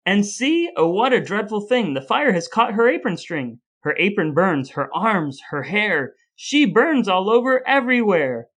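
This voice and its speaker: A man playing a mock-solemn storybook narrator, reading grim cautionary verse in a measured, emphatic, theatrical way. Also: high-pitched, big pitch swings, a bit bright, voice pushed up, exaggerated voice. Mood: mock dread.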